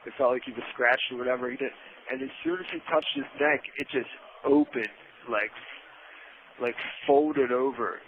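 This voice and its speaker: A man's voice: mid-range voice, a little hiss or hum. A man telling stories casually and matter-of-factly over a phone line from prison, informal and prone to swearing. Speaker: podcast co-host calling from prison. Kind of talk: casual first-person storytelling. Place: inside a prison, on a phone call. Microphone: telephone handset.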